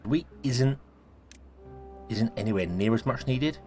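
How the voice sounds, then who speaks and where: A man, casually thinking aloud as he plays a building game, his voice a little croaky from a cold. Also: medium pitch, expressive, measured pace, a little mellow, soft background music. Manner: thinking aloud, rambling, self-correcting. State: croaky, sneezy voice. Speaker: gaming video host. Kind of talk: casual let's-play commentary.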